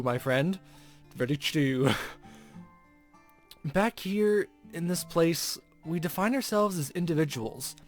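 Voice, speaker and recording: A man with a slightly high voice hosts a casual let's play over soft game music. He chats in rambling asides and reads the on-screen story text out loud. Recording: music playing behind the voice. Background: video game background music.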